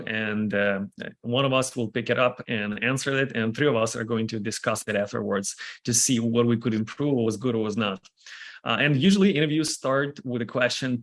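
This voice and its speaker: A man, hosting a casual interview discussion over a video call, upbeat and energetic. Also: medium pitch. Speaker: host, bootcamp founder.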